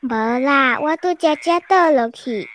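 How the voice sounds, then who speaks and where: A woman's voice: high voice, expressive, measured pace, fairly bright tone. A woman acting a sleepy, curious six-year-old girl in a radio drama, with a Taiwanese Hokkien accent. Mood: sleepy, innocent.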